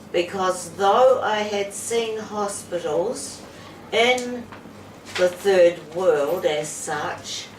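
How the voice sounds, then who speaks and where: A woman in her seventies from New Zealand, giving an unscripted testimonial to camera as a patient in an indoor room, speaking slowly with pauses and fillers. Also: lowish voice. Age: 70s. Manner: slow, measured, with pauses and fillers. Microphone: camera mic from a few feet away. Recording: roomy, distant camera audio with background hiss.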